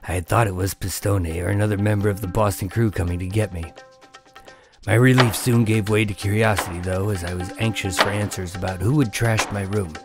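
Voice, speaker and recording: Guy acting undercover agent in a radio drama, low gravelly voice, determined n guarded. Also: steady tone, a little fast, over loud music, lightly acted. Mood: reflective. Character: determined undercover male agent narrating his mob investigation. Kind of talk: radio drama acting and first-person narration.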